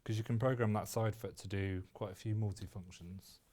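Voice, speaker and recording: A British man demonstrating sewing on a live show, talking in a calm, soft way. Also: lowish voice, a little fast, a little hiss or hum. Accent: British English. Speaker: sewing pattern designer guest. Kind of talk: casual demonstration chat.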